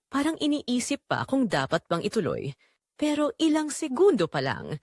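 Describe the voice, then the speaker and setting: A woman's voice: mid-range voice, dry recording, studio quality. A woman narrating a scripted audio drama in a dramatic, suspenseful way.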